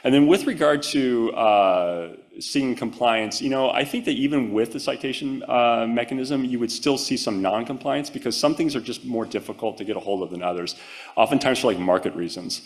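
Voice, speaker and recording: A man's voice: medium pitch, normal speed. A man giving a conference talk and answering audience questions, casual with many "um"s and "like"s. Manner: casual, many fillers and restarts. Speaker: conference presenter. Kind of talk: presentation and Q&A answers.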